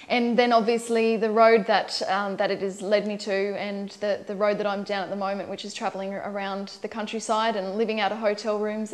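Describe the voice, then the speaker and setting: A young Australian country singer talking casually about her life and her new album, with many ums and uhs. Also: medium pitch. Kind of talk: promotional interview monologue.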